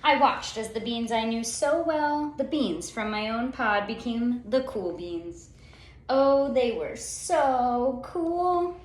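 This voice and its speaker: A woman reading a children's story aloud in an expressive, theatrical way, with dramatic pauses and drawn-out words. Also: a little high, a little hiss or hum. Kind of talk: expressive picture-book storytelling. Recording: roomy, echoey sound.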